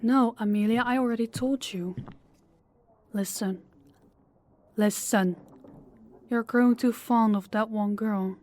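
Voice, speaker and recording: A woman playing a composed, stern female crime boss in an audio drama, speaking deliberately and with authority. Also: fairly high pitch, fairly even intonation, darkish tone, a little hiss or hum. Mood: stern, irritated. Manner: authoritative, deliberate, clipped orders. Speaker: voice actor. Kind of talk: audio roleplay drama acting.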